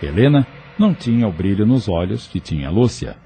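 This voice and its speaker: Mellow, low-pitched Brazilian male narrator. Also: big pitch swings, brisk, gravelly, husky. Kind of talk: scripted audio novel narration.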